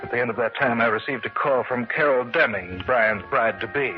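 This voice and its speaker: A man's voice: mid-range voice, fairly quick, over music, lightly acted. An actor playing an earnest, uneasy man who narrates and acts in an old-time radio mystery. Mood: grave narration. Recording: muffled, very hissy vintage radio recording.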